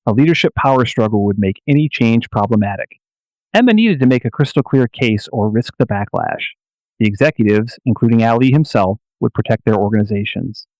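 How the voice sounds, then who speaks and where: A man delivers scripted dramatic narration with solemn, deliberate phrasing. His voice sits at a medium pitch and is recorded close, with very little room sound. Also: animated, darkish tone, dry recording, low-quality recording.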